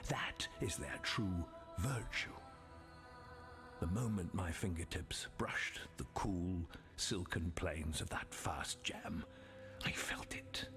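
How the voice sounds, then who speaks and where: A man playing an obsessed scholarly sorcerer in a video game, speaking in a grandiose, deliberate, brooding manner. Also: in a whisper, over music. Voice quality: low, gravelly. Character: obsessed scholarly male thaumaturgist reading his dark journal. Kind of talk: theatrical dramatic game monologue.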